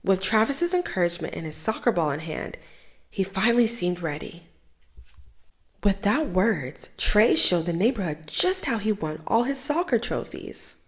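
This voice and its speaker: Young woman storytime host, lively sing-song read-aloud, plenty of pitch movement. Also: moderate pace. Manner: expressive, animated storytelling. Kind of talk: children's book read-aloud.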